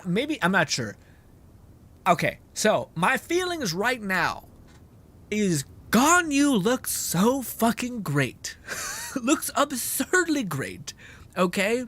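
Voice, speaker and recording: Rambling, excitable male game streamer. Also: very high-pitched, slow, long pauses. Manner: rambling, excitable, heavy swearing, many fillers. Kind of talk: casual gaming stream commentary.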